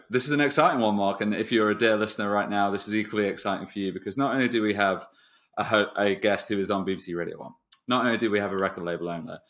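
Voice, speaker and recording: A man's voice: on the low side, fairly bright tone. A man hosting a podcast in casual, jokey interview chat, with effusive, informal talk. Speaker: podcast host.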